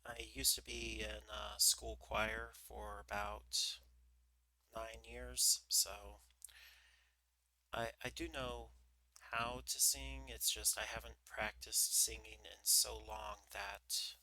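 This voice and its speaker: Guy streamer chatting between readings, slow and rambly, lots of ums, bright voice, desk mic. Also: big pitch swings, gravelly, no echo. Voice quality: naturally higher voice than he looks. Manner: rambling, self-deprecating, many fillers. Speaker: VTuber book-reading streamer. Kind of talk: casual chat during an ad break.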